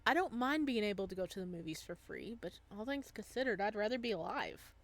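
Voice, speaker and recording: A woman's voice: mid-range voice, animated, brisk, lightly acted. A woman reading a young male ghost's narration and dialogue from a visual novel, wistful and bitter, in an earnest dramatic manner. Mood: earnest, resigned.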